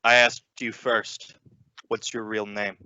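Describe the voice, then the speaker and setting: A man's voice: on the low side, level tone, takes it slowly, bright, forceful tone, smooth, no echo, heavily acted. Man playing a knowing father, dry sound. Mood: shrewd probing. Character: middle-aged father, genial on the surface, shrewdly probing. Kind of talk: old-time radio suspense acting. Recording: dull, lo-fi audio.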